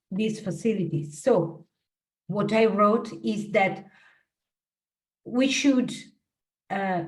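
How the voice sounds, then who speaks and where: A woman's voice: medium pitch, a little mellow. A woman with an Italian accent, a non-native English speaker, co-chairing an online meeting, careful and searching for words. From Italy. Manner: careful, searching for words, repeats key points. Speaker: working group co-chair. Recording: distant from the mic.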